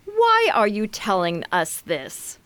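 A woman playing a skeptical female crime boss in a radio drama, sharp-tongued and suspicious. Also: mid-range voice, huge pitch swings, slow pace, smooth, exaggerated voice. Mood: testy, skeptical.

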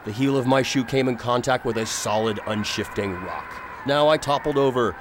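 An actor playing an earnest, determined gentleman hero in a radio drama, speaking gravely and formally. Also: mid-range voice, normal speed, noisy background, lightly acted. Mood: grave, suspenseful. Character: earnest, determined gentleman hero recounting his perilous adventure. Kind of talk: radio drama narration and acting.

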